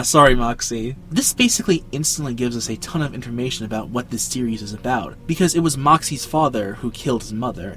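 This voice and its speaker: A man's voice: medium pitch, fairly bright tone. A young man hosting a video essay with casual scripted narration and dramatic emphasis. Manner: dramatic emphasis with jokey asides. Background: background music.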